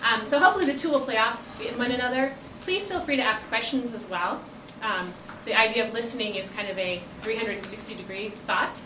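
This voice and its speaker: A woman's voice: on the high side, fairly quick. A woman facilitating a conference panel, casual and friendly with frequent ums, speaking in a classroom. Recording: echoey classroom, distant mic, noisy.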